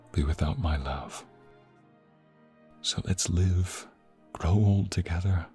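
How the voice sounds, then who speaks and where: A man's voice: low-pitched, darkish tone. A man playing a solemn, devoted king in a romantic fantasy audio drama, speaking in stately, tender declamation. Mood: tender, intimate. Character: solemn, devoted, loving young king addressing court and bride. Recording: long hall reverb, music underneath.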